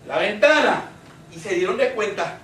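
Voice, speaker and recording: A man's voice: high-pitched, animated, normal speed, fairly bright tone. A man with a Puerto Rican Spanish accent preaching a Bible-study sermon, emphatic and repetitive, putting questions to his congregation. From Puerto Rico. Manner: emphatic, repeats phrases, asks the congregation rhetorical questions. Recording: roomy echo, distant microphone.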